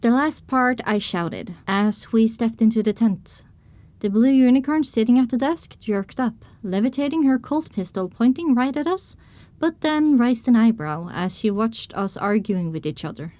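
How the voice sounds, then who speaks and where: A woman playing a pony mare who narrates her own adventure in measured, descriptive audiobook style. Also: on the high side, lively intonation, darkish tone, dry recording, natural voice. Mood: narrating. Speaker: first-person narrator.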